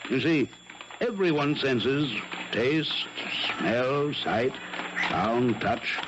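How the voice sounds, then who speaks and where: Suave, amused detective in 1970s radio mystery; theatrical, very animated delivery, muffled noisy recording. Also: male voice, medium pitch. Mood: instructive, teasing. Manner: courtly, theatrical, deliberate, hesitating with small pauses. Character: suave, shrewd French detective, amused mentor.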